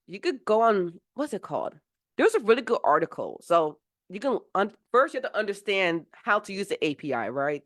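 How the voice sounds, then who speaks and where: Female tech mentor, a little low-pitched, recovering from a cold, casual and rambling with frequent fillers. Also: a bit bright. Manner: casual, rambling explanations with many fillers and restarts. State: getting over a cold. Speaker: software engineering mentor. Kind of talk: live-streamed mentoring session.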